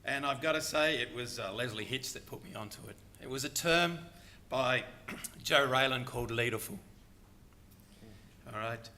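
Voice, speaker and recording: A man's voice: medium pitch, moderate pace, pushed and bright. An older man speaking earnestly and reflectively at a conference panel, delivering a prepared talk. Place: conference hall.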